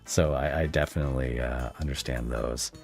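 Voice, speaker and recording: A man with an exceptionally low, bass voice hosts a casual interview. He talks in a measured, halting and reassuring manner, picking through his phrases, over faint background music. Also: fairly even intonation, gravelly. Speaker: podcast host, interviewer.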